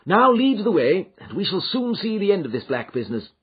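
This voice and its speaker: A man reading an audiobook voices a shrewd, energetic detective who speaks briskly and commandingly, with a husky tone. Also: sits high, highs cut off, voice pushed up, exaggerated voice. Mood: urgent.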